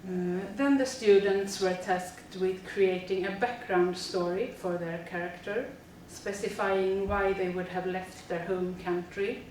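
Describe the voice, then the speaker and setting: A woman's voice: mid-range voice, fairly even intonation, measured pace, hardly any pauses, darkish tone, heavy reverb, recorded from a distance, slight background noise, rough recording. A woman, a teacher reading a prepared presentation steadily with frequent fillers. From Sweden. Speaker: teacher presenting a school project.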